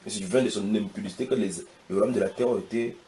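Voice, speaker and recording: Fast emphatic man preaching. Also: lowish voice, fairly even intonation, mellow, rough recording. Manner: fast, emphatic, repeats words. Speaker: host, preacher. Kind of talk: religious discussion, questioning a guest.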